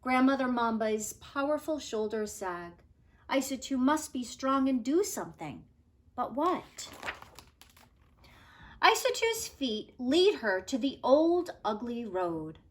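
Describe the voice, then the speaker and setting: A woman reads a children's story aloud in a fairly high voice. She takes her time over each phrase and moves her pitch around expressively, like a teacher sharing a book with students. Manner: deliberate, expressive storytelling delivery.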